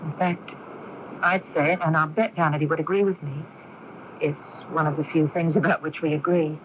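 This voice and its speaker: A woman's voice: low-pitched, fairly even intonation, normal speed, lightly acted. An actress playing a young woman with a split personality in a 1980s radio drama, speaking in a poised, conversational way. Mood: reflective. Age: young adult. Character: young woman with split personality, sporty Jane and aloof Vanity. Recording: noisy, muffled 1980s AM radio recording.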